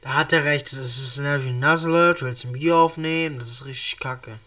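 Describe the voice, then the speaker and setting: A man's voice: medium pitch, steady tone, fairly quick, bright, forceful tone. Young man, has a cold, rambling casual chat, slightly distant mic. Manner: rambling, many restarts and fillers. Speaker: reaction streamer. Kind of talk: casual reaction commentary.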